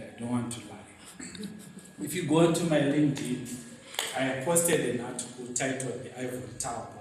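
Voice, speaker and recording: A man from Zimbabwe with a Zimbabwean accent, giving an animated, storytelling motivational talk at a luncheon and laughing at his own stories. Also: medium pitch, slight background noise. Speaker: guest speaker. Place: event venue hall. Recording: very echoey hall, mic set far off.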